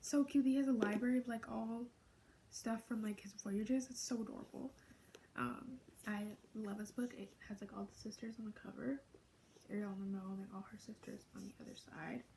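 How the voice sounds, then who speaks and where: Teen girl fan vlogger, soft half-whisper, gravelly fry, flat gushing delivery, noisy recording. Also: on the high side, normal speed. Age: late teens. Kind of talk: casual fan vlog.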